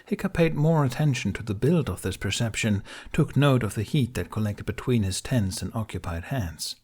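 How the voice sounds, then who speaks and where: A man's voice: lowish voice, lively intonation, moderate pace, darkish tone, slightly rough. A man reading an audiobook of fantasy fiction as a dramatic, intense narrator.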